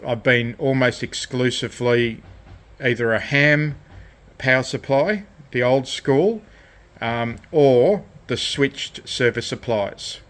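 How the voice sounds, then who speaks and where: A man giving an unscripted hands-on demonstration of a DIY electronics project, with a halting, rambling delivery. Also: medium pitch, fairly bright tone. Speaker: DIY electronics hobbyist presenting his project. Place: indoor radio shack workbench.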